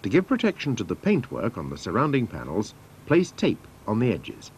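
A man's voice: fairly low pitch, huge pitch swings, normal speed, darkish tone, a little hiss or hum. A man narrating scripted instructions for a training film in a formal, methodical manner.

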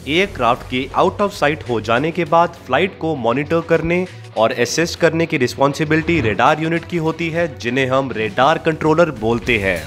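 A man's voice: on the high side, a bit bright. A man with an Indian accent reads a scripted explainer as a video narrator, slipping between Hindi and English phrases. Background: background music.

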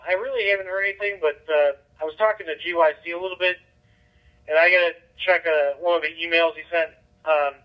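A man chatting casually as an amateur radio operator over a digital two-way radio. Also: fairly high pitch. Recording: muffled lo-fi digital radio transmission.

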